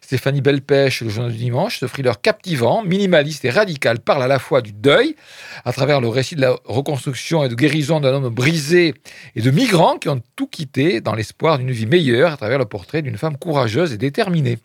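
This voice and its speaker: A man's voice: medium pitch, animated, brisk, slightly rough, no echo, studio quality. A man presenting film reviews on the radio, reading press quotes and adding wry, hesitant asides full of fillers.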